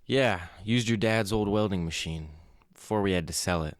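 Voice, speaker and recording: Man playing a very deep-voiced, laconic working man. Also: moderate pace, dry recording, studio quality, lightly acted. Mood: matter-of-fact. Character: practical, helpful working man, matter-of-fact. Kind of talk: naturalistic radio drama acting.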